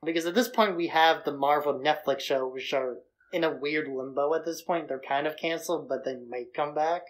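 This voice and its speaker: A man's voice: fairly high pitch, fairly quick, poor audio. A man talking casually as the co-host of a podcast, in an informal discussion of films.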